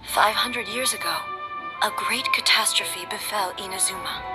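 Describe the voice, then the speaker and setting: A woman's voice: mid-range voice, lightly acted. Woman playing a solemn, regal ruler, music behind. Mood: somber. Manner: formal, measured, grave. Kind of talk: video game voice acting.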